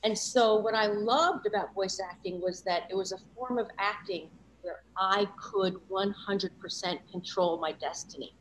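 A woman's voice: medium pitch, moderate pace, hardly any pauses, poor audio. A woman voice-over coach speaking as an interview guest, candid and reflective, often adding "you know" as she talks. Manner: earnest, reflective, many "you know" asides.

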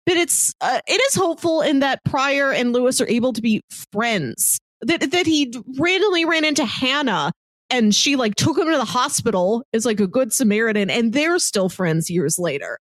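Higher-voiced woman, close dry sound, reflective. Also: expressive, normal speed, no room sound. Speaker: podcast co-host. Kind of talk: casual conversational film discussion.

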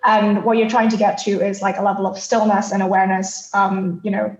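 The sound is echoey and somewhat dull. A woman from Thailand talks at a medium pitch as an interview guest. She explains things conversationally and reflectively, with a halting flow. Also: little pitch movement. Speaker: podcast guest.

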